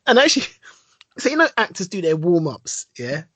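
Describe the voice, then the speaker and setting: British male co-host, husky, high and animated, dry close recording. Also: normal speed, dry recording. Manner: excitable, laughs a lot, swings up and down in pitch. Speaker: podcast co-host. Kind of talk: casual chat.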